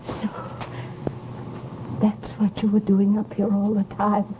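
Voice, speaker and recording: An actress in an old-time radio horror drama playing a nervous, chatty neighbour, fluttery, hesitant and emphatic. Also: female voice, mid-range voice, monotone, slow pace, dull audio, background noise, close to an everyday voice. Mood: pitying, relieved. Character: nervous, chatty neighbour woman, disbelieving and increasingly frightened.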